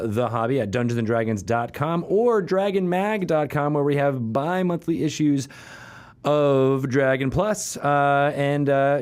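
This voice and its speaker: A man's voice: on the high side, a little mellow. A man chatting casually and playfully as the host of a podcast. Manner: jokey, playful. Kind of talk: casual banter and show sign-off.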